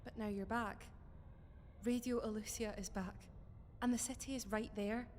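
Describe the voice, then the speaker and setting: A woman's voice: fairly high pitch, steady tone, normal speed, long reverb tail, a little hiss or hum, lightly acted. An actress playing a brave, steadfast woman of the resistance in an audio drama, earnest and reassuring. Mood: gentle, reassuring. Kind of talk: fiction podcast audio drama acting.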